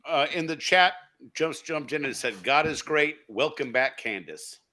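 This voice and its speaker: Male co-host; lively, bright, a bit higher, playful. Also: a little slow. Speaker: podcast co-host. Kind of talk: casual podcast banter.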